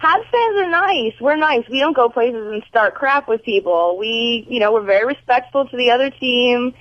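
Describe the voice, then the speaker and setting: A woman's voice: fairly high pitch, lively intonation, normal speed, no room sound, dull audio. A woman from Montreal chatting casually and feistily as a guest on a radio show. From Montreal, Canada. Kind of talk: casual chat.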